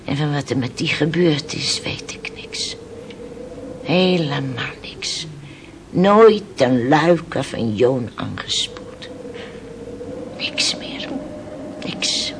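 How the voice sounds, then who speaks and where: An actress playing a weathered, resigned fisherman's widow in a radio play, telling her story haltingly in hushed tones. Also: low voice, animated, exaggerated voice. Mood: sorrowful, hushed. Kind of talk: Dutch radio play acting. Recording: noisy old radio play recording.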